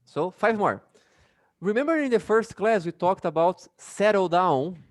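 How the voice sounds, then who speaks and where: Brazilian-accented man as a casual English teacher, animated, dry sound, talks with many fillers. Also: high-pitched, a little slow, dry recording. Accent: Brazilian Portuguese. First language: Portuguese. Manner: chatty, many fillers, laughs often. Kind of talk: casual online class.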